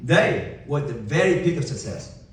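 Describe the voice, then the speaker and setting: A man's voice: on the high side, sing-song, a little hiss or hum. Vietnamese-accented man speaking on stage. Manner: emphatic, dramatic pauses, rhetorical questions. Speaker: motivational speaker. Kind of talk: keynote speech to a live audience. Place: large event hall. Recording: echoey hall from far off.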